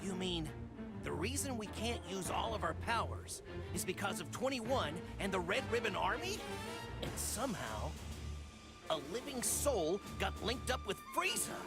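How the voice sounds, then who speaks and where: A man's voice: high-pitched, big pitch swings, unhurried, full character voice. A man playing an earnest, sensible and wary young hero in an anime fighting game, questioning in manner. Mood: puzzled, dry. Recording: loud music behind the voice.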